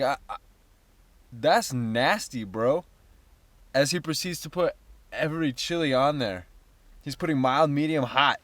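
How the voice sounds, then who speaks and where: A young man vlogging casually about his startup, jokey and rambling as he tells stories. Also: medium pitch. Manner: upbeat, jokey, rambling storyteller. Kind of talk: casual vlog chat.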